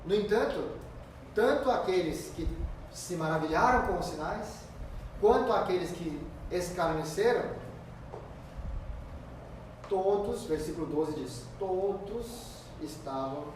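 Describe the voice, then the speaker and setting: A man preaches from far across a very echoey church sanctuary, his slightly high voice carrying through the noisy room. His delivery is emphatic, slowing down on key words and swinging between loud and hushed. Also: animated. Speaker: pastor. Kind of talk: expository sermon.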